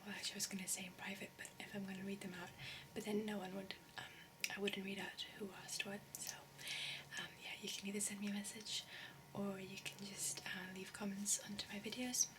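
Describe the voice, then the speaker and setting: Young woman, English-tinged French accent, hesitant ASMR whisper, flat tone, a bit far from the mic. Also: medium pitch, normal speed, noisy background. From raised in English-speaking countries. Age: young adult. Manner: soft whisper, hesitant with many ums and euhs. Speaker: ASMR creator. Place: shared university student housing.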